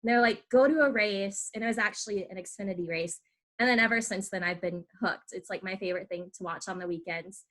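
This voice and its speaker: A woman's voice: medium pitch, fairly quick, fairly bright tone. A woman hosting a podcast, asking rambling, chatty questions with fillers. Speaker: podcast host. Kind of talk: casual podcast interview.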